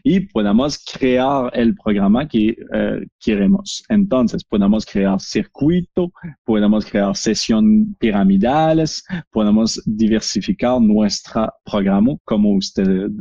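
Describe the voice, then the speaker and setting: A French Canadian man speaking Spanish as a second language, with a Québécois French accent, presenting a software webinar in a halting way with many fillers. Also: lowish voice, no room sound, lo-fi sound. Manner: halting, frequent "eh" fillers and restarts. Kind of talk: product demo webinar.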